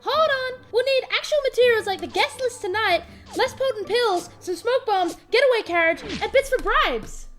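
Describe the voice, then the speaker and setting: A woman's voice: very high-pitched, lively intonation, moderate pace, hardly any pauses, a bit bright. A woman voicing a sweet, chipper little girl pony in a parody cartoon, with music behind her. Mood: matter-of-fact. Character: sweet squeaky little filly, cheerfully criminal cartoon pony.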